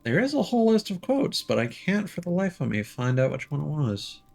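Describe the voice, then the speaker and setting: A man's voice: a little high, sing-song, fairly quick, creaky, darkish tone. A man giving casual live commentary as a game streamer, rambling and musing aloud.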